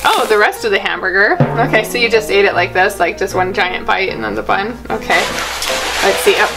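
A woman's voice: mid-range voice, brisk, gravelly, poor audio. A woman in her thirties chatting playfully in an improvised kids' skit, exclaiming in mock disbelief. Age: 30s. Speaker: kids' channel host acting as a doctor in a skit. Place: small indoor room. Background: music.

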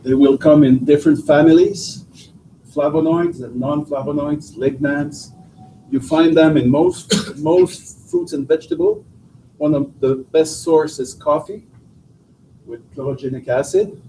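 A middle-aged man from Quebec with a French accent, giving a halting academic seminar talk full of restarts and fillers. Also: a little high, sing-song, dark tone, slight background noise. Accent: Québécois French. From Quebec, Canada. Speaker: guest lecturer, professor. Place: lecture room. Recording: distant, roomy lecture hall audio.

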